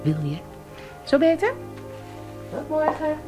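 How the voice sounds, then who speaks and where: Levendige, droge vrouwelijke politie-inspecteur. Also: female voice, high voice, very animated, takes it slowly, music in the background, exaggerated voice. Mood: curt. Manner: dry, bossy, teasing. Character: brisk, dry-witted female police inspector. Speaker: actor in a radio play. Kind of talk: naturalistic Dutch radio drama acting.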